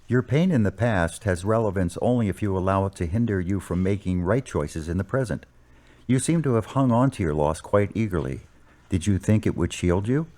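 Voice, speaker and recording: A man as a deep-voiced, patient parish priest. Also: lively intonation, warm tone. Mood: probing. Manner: measured, counselling, formally worded. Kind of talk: amateur audio drama acting.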